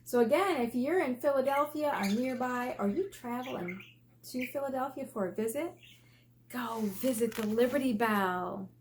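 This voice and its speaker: A woman's voice: on the high side, normal speed, a little hiss or hum. An American woman, an elementary teacher, reading a children's book aloud and explaining it in simple, instructive terms.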